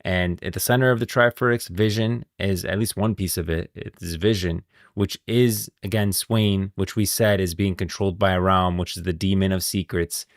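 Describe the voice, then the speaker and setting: A man's voice: sits low, fairly even intonation, normal speed, no room sound, clean studio sound. A man giving casual, opinionated live-stream commentary, thinking aloud with emphasis.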